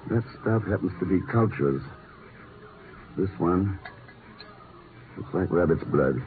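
A shrewd secret agent speaks in a low, clipped, unflappable voice. It is 1950s radio drama, narrow in sound with a light bed of noise. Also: male voice, expressive, takes it slowly. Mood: cool, dry. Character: cool, shrewd male secret agent investigating a murder. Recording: noisy, muffled 1950s radio recording.

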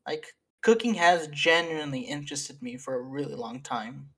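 A man's voice: fairly high pitch, moderate pace, a bit bright, slightly rough. A young man co-hosting a casual podcast with friends, rambling through long sentences full of fillers and laughing as he talks. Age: young adult. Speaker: podcast co-host and editor. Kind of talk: casual chat among friends.

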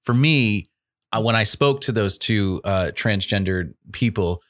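A man's voice: fairly low pitch, measured pace, fairly bright tone, dry recording, clean studio sound. An older man hosting a casual talk show, chatty and candid with plenty of fillers. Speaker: host.

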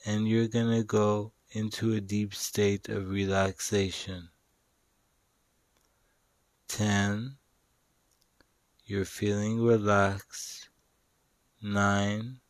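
Male meditation guide: very slow, flat, soothing delivery, long pauses between simple instructions, dry recording. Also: on the low side, dry recording. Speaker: meditation guide and show host.

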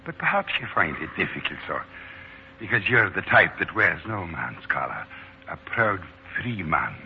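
An actor playing a devoted, solemnly deferential manservant, formal and earnest, in 1940s radio style. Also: male voice, low voice, sing-song, normal speed. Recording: muffled, noisy 1940s radio recording.

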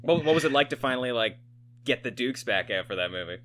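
A man's voice: mid-range voice, expressive, fast, a bit bright. Casual eager male interviewer. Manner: eager fan, casual with many "like" fillers.

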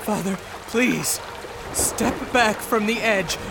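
A man's voice: sits high, takes it slowly, gravelly, noisy background, full character voice. An actor playing an earnest, alarmed man in a radio drama, urgent and emotional as he pleads and exclaims. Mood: urgent pleading.

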